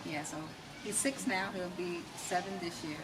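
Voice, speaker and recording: A woman's voice: on the low side, normal speed. American woman on a distant, noisy mic. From United States. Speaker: interview guest. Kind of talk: conversational interview, explaining personal views. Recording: distant mic, loud ambient noise.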